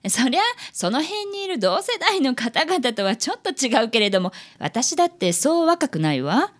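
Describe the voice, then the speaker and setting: Imperious rich female client played by a woman: high, animated, ladylike condescension, radio comedy. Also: normal speed. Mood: self-satisfied. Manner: condescending, ladylike, self-satisfied. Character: haughty, wealthy female company president, imperious client. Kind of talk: comedic radio drama acting.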